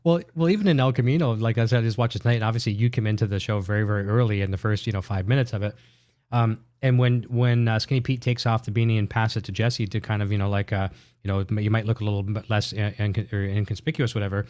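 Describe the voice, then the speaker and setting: A man's voice: fairly low pitch, fairly even intonation, fast talker, darkish tone. A man hosting a show, chatting with a guest and reading out viewer comments. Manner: upbeat, reads viewer comments. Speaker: show host. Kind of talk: casual interview chat.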